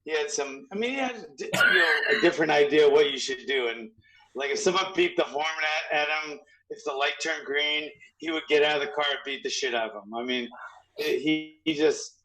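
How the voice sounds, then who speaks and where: A man's voice: mid-range voice, sharp tone, rough recording. A man in his sixties from Hawaii, a guest martial arts trainer, telling stories casually with frequent restarts and fillers. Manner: rambling, halting with many restarts and fillers. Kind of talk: casual storytelling.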